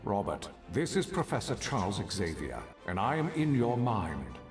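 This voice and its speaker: Game mentor: stern, precise, imperious, mid-pitched with very animated intonation over faint music. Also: male voice, moderate pace. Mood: irritated, stern. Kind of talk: video game character acting.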